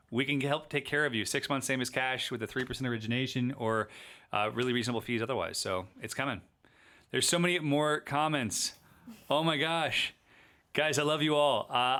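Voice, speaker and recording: A man's voice: mid-range voice, a bit bright. Man hosting a casual livestream Q&A. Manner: chatty, upbeat, rambling with restarts. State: losing his voice. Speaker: livestream host and shop owner.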